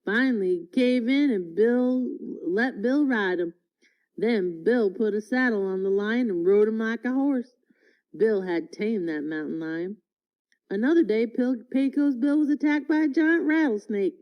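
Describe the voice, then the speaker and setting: A woman reading a folksy tall tale aloud in an expressive, deliberate storytelling manner. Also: fairly high pitch. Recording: dull, lo-fi audio.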